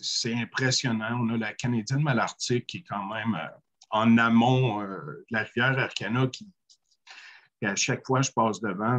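A man in his forties with a Quebec French accent, speaking slowly on a video call panel with many pauses. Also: medium pitch, fairly bright tone. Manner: measured, many hesitant "euh" pauses. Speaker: First Nation panelist. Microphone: webcam on a video call.

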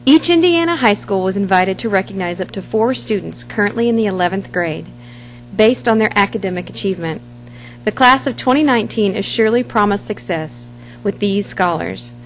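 A middle-aged woman, a high school staff member, reading a formal award announcement in a measured way into a podium microphone in a large boardroom. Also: animated, soft background music, poor audio.

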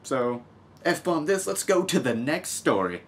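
A man's voice: fairly high pitch, sing-song, a little slow. A young man from the United States hosting a casual news vlog at home, reading stories and adding sarcastic, joking asides. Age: young adult. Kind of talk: casual humorous news commentary. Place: small home room with desk, indoors.

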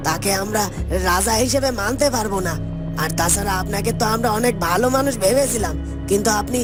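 A woman's voice: on the high side, lightly acted. A woman voicing a loyal male palace guard in a fairytale cartoon, formal and earnest. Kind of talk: dramatic cartoon voice acting. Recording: loud music bed. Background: music.

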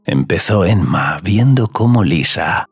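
A man's voice: low-pitched, lively intonation, measured pace, slightly rough, no room sound, clean studio sound, soft background music. A man narrating a scripted audio story.

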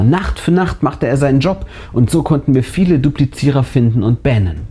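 Animated man narrating a scary story, mellow voice. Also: medium pitch. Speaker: narrator and channel host. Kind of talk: scripted narration of a first-person horror story, read aloud. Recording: music bed behind the voice. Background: background music.

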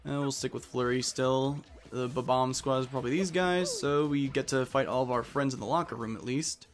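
A man's voice: mid-range voice, normal speed, faint music behind. A man giving casual let's play commentary on a video game, reading dialogue aloud as he goes.

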